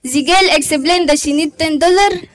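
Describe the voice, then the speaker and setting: A woman's voice: sits high, normal speed, close to an everyday voice. A young woman putting on an Arabic accent in English, telling a shop story. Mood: complaining. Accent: put-on Arabic.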